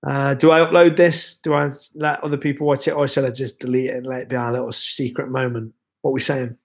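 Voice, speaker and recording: A man's voice: medium pitch, fast talker, vocal fry. A young man hosting a casual livestream, chatting loosely and reading out viewer comments, his voice a bit worn from being ill. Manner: relaxed, rambling, chatty with many fillers. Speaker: livestream host.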